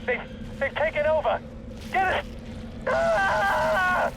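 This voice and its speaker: Jittery recon soldier, high-pitched. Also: male voice, huge pitch swings, slow pace, heavy background noise, heavily acted. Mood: alarmed. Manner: military radio reporting, breaking into alarm. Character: panicky male recon soldier reporting over radio. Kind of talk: video game voice acting. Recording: music behind the voice.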